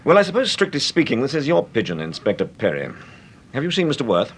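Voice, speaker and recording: An actor playing a calm, probing English gentleman detective in a radio mystery, urbane and understated, with a British accent. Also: medium pitch, slight background noise. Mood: businesslike. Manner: urbane, measured questioning, understated. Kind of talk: radio mystery drama acting.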